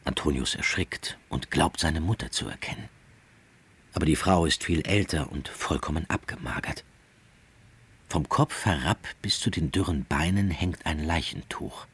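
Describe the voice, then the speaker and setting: Narrator for radio drama: man, deep and strongly husky, scripted storytelling. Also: moderate pace, a bit bright.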